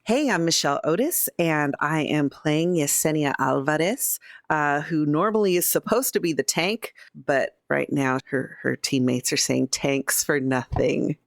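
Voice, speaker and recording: A woman's voice: deep voice, measured pace, gravelly, few pauses, no echo, studio quality. A woman chatting casually as she introduces her part as a player on a tabletop game podcast. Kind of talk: casual chat.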